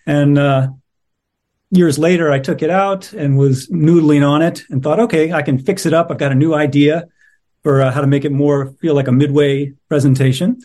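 A man's voice: fairly high pitch, fairly even intonation, normal speed, mellow. A middle-aged man speaking as an interviewed playwright, casual, reflective and a little wry.

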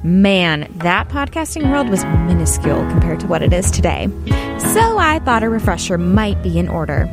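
A woman's voice: fairly low pitch, very animated, moderate pace. A woman hosting a podcast, narrating and asking questions in a conversational way. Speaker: podcast host. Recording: music bed under the voice. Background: music.

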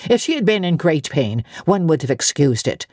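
A sour maidservant scoffs in a lowered, disgusted voice , as a woman reading aloud puts her on, her tone dark and husky. It is heard close and dry. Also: big pitch swings, measured pace, no room sound. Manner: low, disgusted, scolding.

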